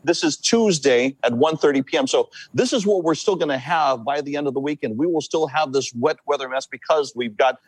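A man from Hawaii, a meteorologist, explaining the weather and big surf in a halting, repetitive way during a news interview. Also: a little high, slightly rough, no room sound, poor audio. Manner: halting, repeats words while explaining.

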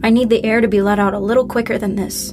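A woman's voice: on the high side, fast, over music, close to an everyday voice. A young woman acting a sullen, grieving, guarded character in a naturalistic audio drama, curt and sardonic. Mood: anguished, low. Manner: flat, curt, sarcastic.

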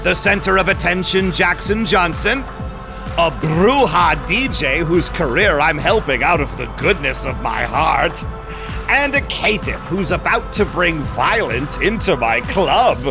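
A man's voice: fairly high pitch, expressive, a little slow, gravelly, music in the background. An actor playing a shady, smug vampire businessman who owns a club, with suave, theatrical delivery in an audio drama. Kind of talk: audio drama narration and dialogue.

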